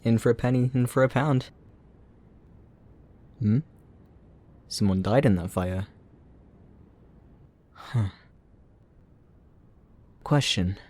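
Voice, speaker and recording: A man's voice: mid-range voice, takes it slowly, long pauses, darkish tone. A man playing a gloating, sarcastic supervillain who taunts a captive hero in a mocking, playful, theatrical way, in a roleplay audio drama. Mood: callous bragging.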